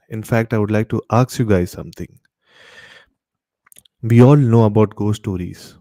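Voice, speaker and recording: A man hosts a late-night horror radio show in a low-pitched, very mellow voice. He speaks as a presenter introducing a live stream, and the sound is close and dry. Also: no echo.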